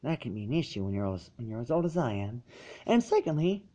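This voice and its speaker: An ancient, kindly pony princess acted out by a man speaks softly in a storybook reading. The voice is formal, measured and encouraging, with a lilting rise and fall. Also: medium pitch, very animated, voice pushed down. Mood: gentle, encouraging.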